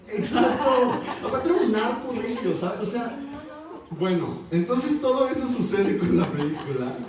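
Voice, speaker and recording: Animated Mexican man in an echoey hall. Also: high voice, measured pace, distant mic. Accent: Mexican Spanish. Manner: animated, wry, conversational with frequent fillers. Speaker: panelist. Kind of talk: university panel discussion. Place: university auditorium.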